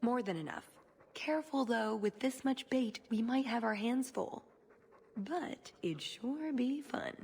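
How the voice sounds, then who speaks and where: A brash, eager huntress from a fantasy game. She has a fairly high voice and talks bluntly and playfully, over faint noise. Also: lively intonation, measured pace, gravelly, a little mellow, breathy edge, heavily acted. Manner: blunt, cheeky.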